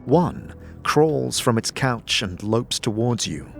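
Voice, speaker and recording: A man's voice: medium pitch, very animated, takes it slowly, gravelly, faint music behind. A man narrating a scripted fantasy audio drama in the second person, with dramatic, descriptive storytelling. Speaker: narrator and game master.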